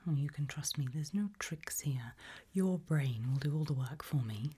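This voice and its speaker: A woman softly whispering an ASMR roleplay, questioning the listener in a deliberate, gently ominous way. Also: sits low, animated. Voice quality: soft breathy whisper, mostly unvoiced.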